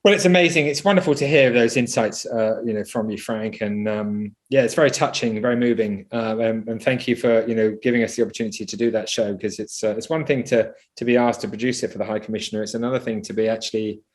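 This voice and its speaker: A man's voice: lowish voice, steady tone, fast, few pauses. A man interviewing a guest, effusive and full of praise, with fillers. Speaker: interviewer, charity founder. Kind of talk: conversational interview.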